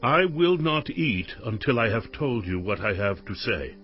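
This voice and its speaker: An actor playing a devout, earnest male servant on a mission in a dramatized audio Bible, speaking in a solemn, measured way. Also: medium pitch, big pitch swings. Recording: dull lo-fi audio with music behind.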